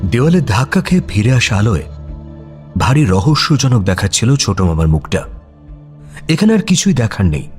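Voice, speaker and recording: A man's voice: mid-range voice, a little mellow. A man narrating a scripted story in a dramatic storytelling style. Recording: music bed under voice. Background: background music.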